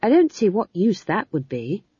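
A woman's voice: medium pitch, sing-song, moderate pace, mellow, husky, dry recording, highs cut off, pitched above the performer's own voice. A woman voicing an earnest, prim, idealistic younger witch with brittle politeness in an audiobook. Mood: earnest, hopeful. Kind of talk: audiobook character voice.

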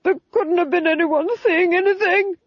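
A woman's voice: extremely high pitch, steady tone, normal speed, dry recording, lo-fi sound, voice pushed up. A woman voicing a nervous, tyrannical male Duke, agitated and strained, in an audiobook. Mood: panicked fury. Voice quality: strained, husky. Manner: agitated, hissing and screaming. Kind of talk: audiobook character voice.

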